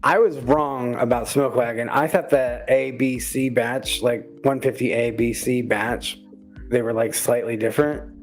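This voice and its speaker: A man's voice: medium pitch, steady tone, unhurried, faint music behind. A man in his thirties talking in an easygoing, descriptive way in a casual group chat on a livestream. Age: 30s.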